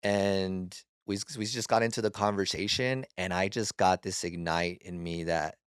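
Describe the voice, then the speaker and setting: A man in his twenties, a podcast guest, telling his story in a relaxed, halting way with frequent restarts. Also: low voice, smooth, no room sound, studio quality. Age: 20s. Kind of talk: casual storytelling.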